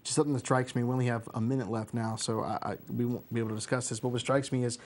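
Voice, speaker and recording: A man's voice: medium pitch, little pitch movement, rapid-fire, a little mellow. An easygoing man in his thirties hosting a local interview show. His voice sits in a plain middle range, heard through a lapel mic in a small studio. He asks friendly questions and keeps things moving. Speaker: host. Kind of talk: community TV interview. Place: small TV studio, seated at a desk.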